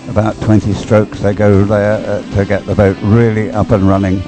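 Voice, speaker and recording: Deep-voiced male sports commentator, halting delivery. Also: flat delivery, music in the background. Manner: hesitant, halting, repeats words while explaining. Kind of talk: live race commentary, unscripted and explanatory.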